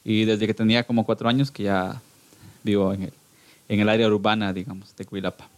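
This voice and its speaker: A man's voice: on the low side, level tone, fairly quick, dry recording. A man in his thirties from Guatemala with a Guatemalan Spanish accent, chatting casually as a podcast guest. He laughs often and hesitates and restarts his sentences. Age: 30s. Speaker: podcast guest, band singer. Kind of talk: casual interview chat.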